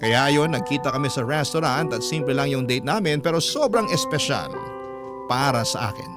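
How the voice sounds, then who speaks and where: A man narrating a first-person radio story in a dramatic, conversational, expressive way. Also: medium pitch, moderate pace. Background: music.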